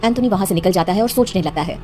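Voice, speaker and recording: A woman's voice: mid-range voice, rapid-fire, dark tone, soft background music. A woman narrating a scripted horror cartoon story as its storyteller.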